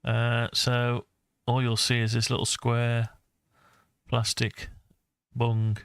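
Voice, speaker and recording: A man's voice: mid-range voice, completely flat delivery, slow, a bit bright, clean tone, no echo, clean studio sound. A man giving a casual design walkthrough, thinking aloud in a hesitant, musing way as he talks through his ideas. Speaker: presenter of a hobby design project video.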